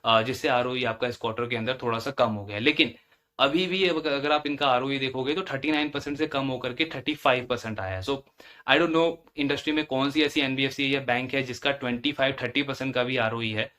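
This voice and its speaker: A man's voice: mid-range voice, brisk, sharp tone. A man giving explanatory commentary as a financial analyst, going step by step and repeating phrases for emphasis. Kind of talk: explanatory earnings-call commentary.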